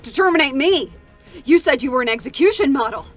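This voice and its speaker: An actress playing a bitter, vengeful combat android in an intense, defiant dramatic reading of a game character. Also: female voice, sits high, animated, fairly quick. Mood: furious, vengeful. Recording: music behind the voice. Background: music.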